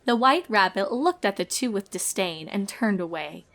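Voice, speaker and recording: Woman narrating a story, measured and expressive. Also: mid-range voice. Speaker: fan fiction reader and narrator.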